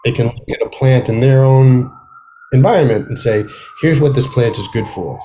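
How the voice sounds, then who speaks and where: A man speaks as a guest in a casual interview over a video call. His voice sits at an average pitch. He is thoughtful and halting, leaving sentences unfinished as he works through what he means. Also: warm tone, faint music behind, poor audio.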